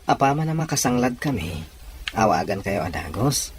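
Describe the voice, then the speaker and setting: A man's voice: on the low side, sing-song, normal speed. A man playing an earnest young Ilocano seafarer and devoted son in an audio drama, with an Ilocano accent. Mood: reassuring. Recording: noisy ambience with crowd murmur.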